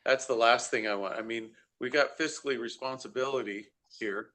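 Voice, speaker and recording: Man council member, bright, tense voice, rambles folksy and loose. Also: mid-range voice, normal speed. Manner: folksy and rambling, repeats himself. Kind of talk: council workshop discussion.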